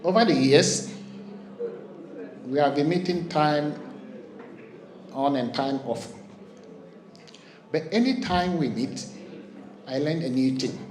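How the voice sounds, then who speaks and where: A man from Ghana, giving a slow, sincere, halting tribute at a memorial. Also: a little high, a little mellow. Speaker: memorial speaker. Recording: echoey hall with crowd.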